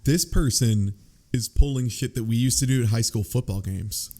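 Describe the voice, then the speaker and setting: A man's voice: medium pitch, moderate pace, very noisy. A man chatting casually as a podcast panelist, retelling and riffing on a story in a rambling, amused, slangy way. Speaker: book club podcast panelist.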